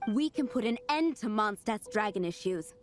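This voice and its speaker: A woman's voice: medium pitch, expressive, moderate pace, soft background music, close to an everyday voice. A woman playing a haughty, pushy diplomatic envoy in a video game, speaking in a formal, condescending manner. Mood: cool, pressing. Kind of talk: anime-style video game acting.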